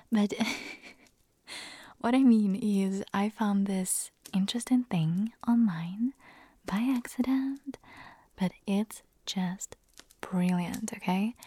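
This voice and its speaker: A woman whispers in a slow, unhurried ASMR style, her voice raspy and breathy from nearly losing it. The sound stays soft and mellow rather than bright. She laces her talk with giggles and self-deprecating, chatty remarks. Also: mid-range voice, no echo. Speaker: ASMR creator.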